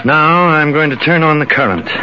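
A man's voice: fairly high pitch, moderate pace, close to an everyday voice. A man playing a middle-aged American professor in an old radio drama, patient, authoritative and a little secretive. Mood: commanding, methodical. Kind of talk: 1950s radio drama acting. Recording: muffled, very noisy old radio recording.

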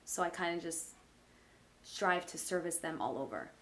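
Relaxed New woman with a slightly low voice. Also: normal speed. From Brooklyn, New York. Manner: relaxed, chatty, easygoing, with many fillers. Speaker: realtor guest. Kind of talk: casual interview chat.

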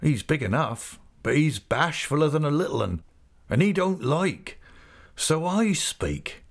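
Jubilant old fisherman, rustic dialect, lively and raised, read by a man. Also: on the high side, unhurried, pitched above the performer's own voice, exaggerated voice. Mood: earnest. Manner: broad rustic dialect, excited.

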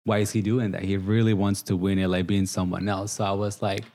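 A man's voice: low-pitched, flat delivery, brisk, darkish tone. A man from Guatemala with a Guatemalan Spanish accent, a reality show contestant talking earnestly and emotionally in a casual interview, laughing often.

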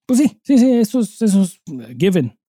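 Mexican man, notably mellow, slangy podcast host. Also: high voice, big pitch swings, normal speed, breathy edge, no room sound, studio quality. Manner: informal, heavy slang and swearing. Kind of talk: casual conversational storytelling.

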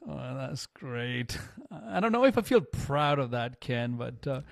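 A man's voice: medium pitch, very animated, a little slow, gravelly, no echo, clean studio sound. A man from Chile hosting a radio show in casual, conversational banter.

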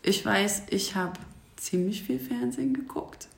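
A woman's voice: medium pitch, a little slow, vocal fry. Woman chatting in a very echoey room. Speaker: podcast co-host. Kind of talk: casual chat.

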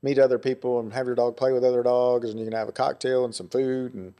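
A man's voice: mid-range voice, flat delivery, fast, dark tone, smooth. Easygoing man hosting a podcast. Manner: jokey, easygoing questioner. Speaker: podcast host. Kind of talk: casual interview chat.

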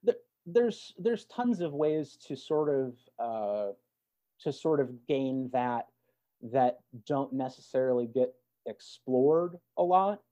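A man's voice: medium pitch, animated, warm tone. A man in reflective, casual conversation as a guest, feeling his way through thoughts with repeated words. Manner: halting, repeats words while thinking. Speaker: podcast guest. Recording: dull, lo-fi audio.

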